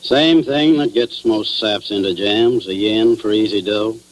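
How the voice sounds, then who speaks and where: A man's voice: fairly low pitch, measured pace, natural voice. An actor with an American accent playing a crooked, resigned small-town cop in slangy tough-guy style in a 1940s radio drama. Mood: resigned, wry. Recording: noisy, humming 1940s radio recording.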